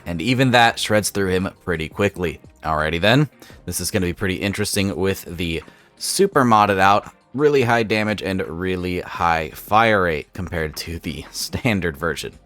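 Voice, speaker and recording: A man's voice: deep voice, lively intonation, normal speed, fairly bright tone, faint music behind. A young man with a cold and a slightly stuffy voice, hosting a gaming channel and walking through a game mod step by step in an upbeat, casual voice-over.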